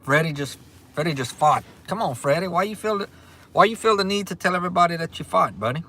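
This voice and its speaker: A man's voice: a little high, expressive, quick pace, bright, forceful tone. A man in his forties with a Louisiana accent, hosting a casual livestream and answering viewer comments in an easygoing way, often repeating himself. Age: 40s. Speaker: livestream host. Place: restaurant. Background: faint restaurant ambience.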